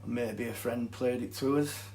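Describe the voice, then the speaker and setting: Yorkshire bloke teaching guitar, deep gravelly voice, methodical, echoey room. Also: male voice, steady tone, moderate pace, darkish tone, a little hiss or hum. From Yorkshire, England. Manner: plain, methodical explaining. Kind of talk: guitar tutorial. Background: guitar music.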